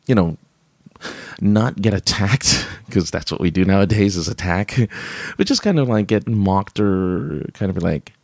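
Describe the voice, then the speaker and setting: A man's voice: low voice, animated, unhurried, creaky, dry recording. A man hosting a live stream, chatting casually and reading viewer comments, rambling with many fillers and restarts and laughing often.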